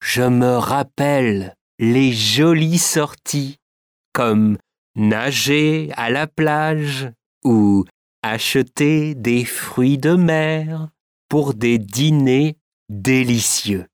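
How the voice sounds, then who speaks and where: A man giving a careful, clearly articulated scripted reading for a language course. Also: mid-range voice, drawn-out words, no echo, studio quality. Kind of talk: slow scripted reading for learners.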